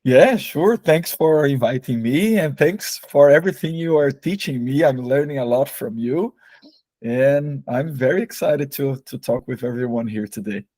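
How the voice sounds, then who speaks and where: A young Brazilian man with a Brazilian Portuguese accent, a guest in an interview who hesitates, repeats words and fills pauses, with Portuguese as his first language. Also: mid-range voice, dark tone, dry recording. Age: young adult. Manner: hesitant, repeats words and uses many fillers. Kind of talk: casual interview.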